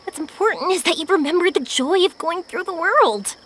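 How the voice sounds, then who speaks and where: High, lively, kindly young heroine. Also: female voice, brisk, slight background noise. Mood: encouraging. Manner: enthusiastic, reassuring. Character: bright, kindly young woman, playful, encouraging adventurer. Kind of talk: video game voice acting.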